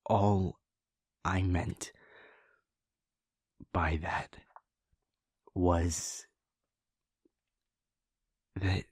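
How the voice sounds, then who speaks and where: A man with a low, slightly breathy voice speaks to his partner in a soft, halting way, often trailing off and restarting. He talks slowly and unhurriedly. The sound is dry and close. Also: level tone, no room sound. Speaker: audio roleplay performer. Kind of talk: intimate audio roleplay, speaking to the listener.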